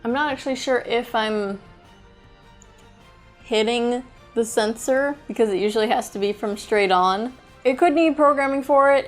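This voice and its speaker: A woman with a mid-range voice hosts a casual toy review over music. She talks in a chatty, unscripted way, thinking aloud as she handles each item. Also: mid-range voice.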